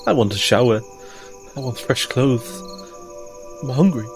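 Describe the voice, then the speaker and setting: A man's voice: medium pitch, a little slow, faint music behind, close to an everyday voice. A man playing a cautious, protective, weary adventurer in tabletop roleplay, speaking earnestly with some hesitation.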